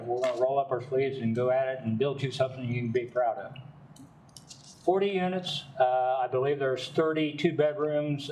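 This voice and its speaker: Folksy older man speaking loudly. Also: mid-range voice, far from the mic, low-quality recording. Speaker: developer's representative. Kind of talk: public testimony at a council meeting.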